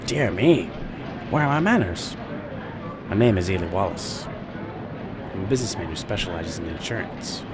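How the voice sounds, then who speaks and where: Man acting a low-voiced florid gentleman salesman. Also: lively intonation, normal speed, long pauses. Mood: effusive, genteel. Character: effusive, courtly, grandiose male insurance businessman. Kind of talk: period radio drama acting. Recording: train rumble behind the voice.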